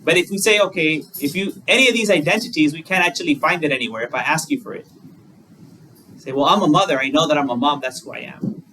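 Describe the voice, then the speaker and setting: Monk guy giving a dharma talk, bit higher voice, sounds far off the mic, faint hum behind. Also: quick pace, sharp tone. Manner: conversational, plain examples, asks rhetorical questions. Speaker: Buddhist monk. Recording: distant mic with faint room noise.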